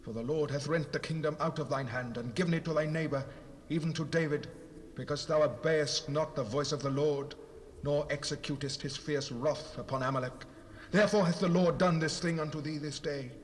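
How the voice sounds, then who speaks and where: A man's voice: on the high side, fairly even intonation, fairly quick, natural voice. A man playing the ghost of a stern old prophet in a dramatized Bible, solemn and reproachful as he declaims. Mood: stern, condemning. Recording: long reverb, noisy 1970s cassette rip.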